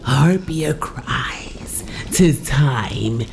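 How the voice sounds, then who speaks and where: An actress playing a theatrical circus woman who recites like a witch in an audio drama, slow and dramatic over music. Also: a bass voice, lively intonation, gravelly, background noise, full character voice. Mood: eerie, incanting. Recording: loud music behind.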